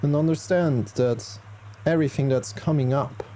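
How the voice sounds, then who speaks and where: A man leading a guided meditation as a yoga teacher, speaking calmly and gently with unhurried pauses. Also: a little high, a little hiss or hum.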